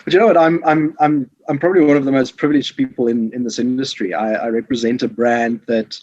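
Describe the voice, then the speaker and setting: A man's voice: medium pitch, fairly even intonation, quick pace, a little mellow, no echo. A man with a South African accent chatting as an interview guest, often repeating words and phrases, over slightly muffled call audio. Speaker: podcast guest, safari lodge sales representative. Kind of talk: casual conversational interview. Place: home office in Johannesburg, remote call.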